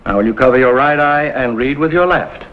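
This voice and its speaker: An actor playing a calm, kindly middle-aged American authority figure in 1950s television drama style. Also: male voice, medium pitch, brisk, close to an everyday voice. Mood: businesslike, patient. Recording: dull, noisy 1950s television soundtrack.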